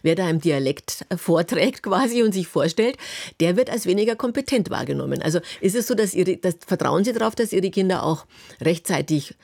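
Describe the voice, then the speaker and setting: A woman's voice: on the low side, lively intonation, a little fast, creaky, darkish tone, no echo, clean studio sound. A woman hosting a radio call-in in a conversational interviewing style. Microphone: close, dry studio microphone.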